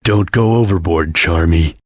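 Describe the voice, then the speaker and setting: An actor playing a cool-headed ninja chameleon in a video game, speaking in a raspy, serious and composed way. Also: male voice, sits low, measured pace, dry recording, clean studio sound. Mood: cool, observant. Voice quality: raspy, gravelly. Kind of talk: video game character acting.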